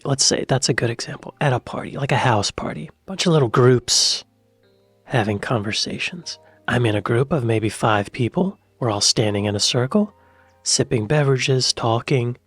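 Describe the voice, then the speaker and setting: An American man hosting a reaction video in casual commentary, with a soft, quiet voice and a musing manner that trails off and repeats itself. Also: mid-range voice, husky, dry recording. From United States.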